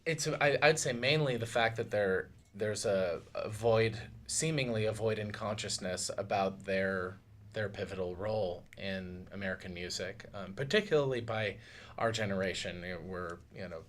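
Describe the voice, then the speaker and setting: A man in his thirties speaking thoughtfully and a little haltingly as a musician in a casual radio interview. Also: lowish voice, fairly bright tone, slight background noise. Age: 30s. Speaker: musician, tribute duo singer. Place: small radio studio, indoors. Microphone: studio mic on boom arm.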